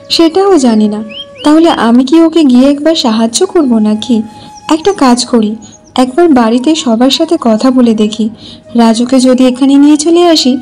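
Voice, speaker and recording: A woman's voice: high voice, mellow, lightly acted. A woman playing a devoted, anxious young married village sister in a cartoon folk tale, pleading and worried.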